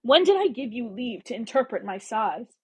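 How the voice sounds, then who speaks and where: A woman voicing a proud, defiant young woman in a Regency romance audiobook, speaking forcefully and with emotion. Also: a little high, sing-song, moderate pace, breathy edge, low-quality recording. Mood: shrill, indignant.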